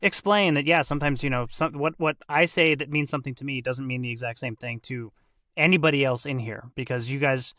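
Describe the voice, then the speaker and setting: A man's voice: mid-range voice, fairly quick, pushed and bright, no echo, clean studio sound. A man in his forties hosting a casual group discussion, with a joking, teasing manner. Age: 40s. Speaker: podcast host.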